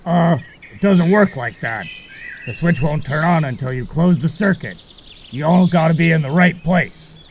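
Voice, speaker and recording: A man's voice: on the high side, animated, moderate pace, slight background noise. A man voicing a laid-back, knowing male sidekick in an audio drama, casual and wry. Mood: explaining.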